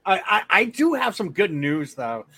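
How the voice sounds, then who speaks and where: Excitable, profane man hosting a podcast. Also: high voice, normal speed, husky, no echo. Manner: loose and profane, with frequent restarts. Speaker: podcast host. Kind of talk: casual sports chat.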